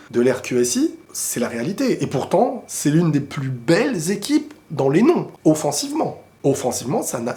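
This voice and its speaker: A man with a cold and a sore throat, talking casually and excitably about football to his viewers, often rambling into quick asides. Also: fairly high pitch. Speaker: football video creator answering viewer questions. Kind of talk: casual opinionated football talk to camera.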